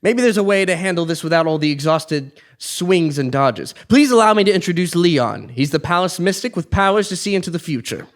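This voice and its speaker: A man plays a haughty, scheming king in an absurd stage comedy. The voice sits high for a man and swoops theatrically, full of pomp. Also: lively intonation, brisk, hardly any pauses, lightly acted. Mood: sly, commanding. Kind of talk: comic stage play acting before a live audience.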